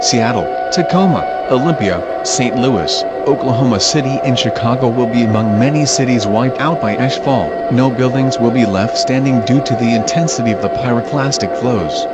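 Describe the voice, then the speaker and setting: A man playing a grave, resolute president giving a formal, measured emergency broadcast address. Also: medium pitch, lightly acted.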